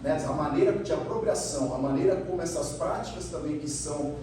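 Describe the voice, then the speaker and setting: A man's voice: a little high, fairly quick, warm tone. A man with a Brazilian accent giving an academic presentation as a professor, explaining step by step and often tagging phrases with a checking question. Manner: explanatory, often ends phrases with a rhetorical "né" tag. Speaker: university professor presenting research. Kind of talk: academic conference presentation, semi-improvised. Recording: echoey room, distant mic, clear background noise.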